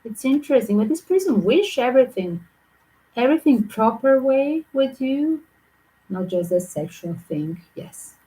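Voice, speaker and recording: A woman from Southern Europe with a mixed Greek and Yugoslav accent, giving a tarot reading in quick, chatty, non-native English. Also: high voice, sing-song, mellow, poor audio. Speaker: tarot reader.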